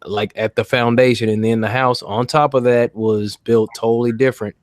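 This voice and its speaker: A man's voice: mid-range voice, fairly even intonation, moderate pace, dry recording. Laid-back man from Arkansas, dry sound. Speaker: podcast host. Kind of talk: casual chat.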